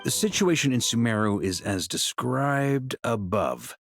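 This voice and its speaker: A man's voice: medium pitch, big pitch swings, measured pace, vocal fry, a bit bright, faint music behind, heavily acted. A man playing an easygoing, wry Grand Master of knights with casual authority in a video game. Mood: weary, brooding.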